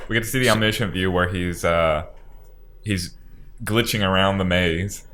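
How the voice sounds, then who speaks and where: Dry, lowish-voiced male podcaster. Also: fairly even intonation, moderate pace, fairly bright tone. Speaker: podcast co-host. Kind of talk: casual chat, commentary.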